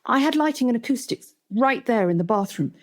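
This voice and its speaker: A woman's voice: on the high side, animated, a little fast, voice pushed up. A woman voicing an impassioned, incredulous female TV journalist in a dramatic first-person book reading. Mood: excited.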